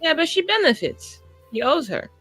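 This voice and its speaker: A woman's voice: high-pitched, big pitch swings, moderate pace, fairly bright tone, faint music behind. A woman playing in a tabletop roleplaying game, chatting casually at the table.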